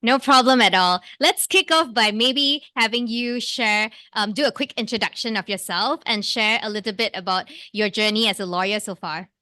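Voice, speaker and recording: Podcast host, woman, bright tone, upbeat and eager, dry close sound. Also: fairly high pitch, normal speed, few pauses, dry recording. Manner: upbeat, enthusiastic. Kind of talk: interview hosting.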